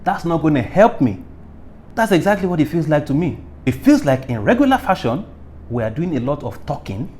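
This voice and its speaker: A man's voice: on the high side, very animated, a little fast, darkish tone. A Nigerian man hosting a current affairs show, delivering opinionated commentary in an emphatic, theatrical way with lots of rhetorical questions. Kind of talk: opinionated news commentary to viewers.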